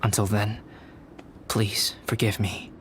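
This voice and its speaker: An actor playing a reserved, thoughtful young scholar in a fantasy game, speaking in a measured, formal, polite way. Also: male voice, fairly low pitch, level tone, a bit bright, slight background noise. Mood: calm, reflective. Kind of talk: fantasy video game dialogue. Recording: game audio with clear background music.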